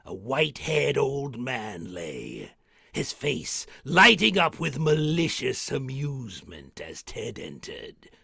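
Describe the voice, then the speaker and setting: An actor playing an eccentric mad-scientist museum keeper, hammy and macabre, hosting an old-time radio horror show. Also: male voice, mid-range voice, pushed and bright, no room sound, clean studio sound, heavily acted. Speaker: horror host and narrator. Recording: clear background noise.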